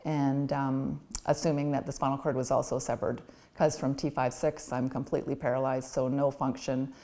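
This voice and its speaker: Casting note: woman, forties, low warm voice, candid and reflective, documentary interview. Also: level tone, moderate pace. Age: 40s. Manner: candid, reflective, with frequent ums.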